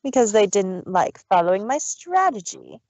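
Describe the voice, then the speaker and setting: Guy doing mean bossy pony villainess for a story, pitched up, taunting, bit muffled recording. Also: big pitch swings, moderate pace, a little mellow, dry recording. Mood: bossy, angry. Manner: domineering, taunting. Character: cruel scheming pony villainess, bossy and gloating.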